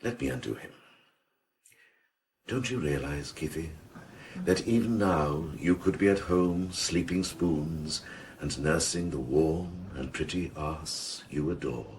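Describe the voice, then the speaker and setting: A man playing a smug senior police officer in a radio crime drama, gravelly, florid and sardonic. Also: a bass voice, slow pace, a little mellow, distant mic, slight background noise, full character voice. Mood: taunting.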